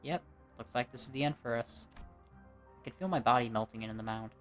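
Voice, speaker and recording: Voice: mid-range voice, a little fast, faint music behind. An amateur narrator reading a dramatic story at home, in a scripted storytelling style. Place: at home, recording indoors.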